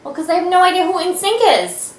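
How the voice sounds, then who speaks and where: A woman's voice: very high-pitched, fast, echoey room. A woman in her twenties hosting a casual podcast from her family's living room, teasing her guest with lots of fillers. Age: 20s. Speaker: podcast host. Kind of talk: casual chat. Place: home living room by an electric fireplace.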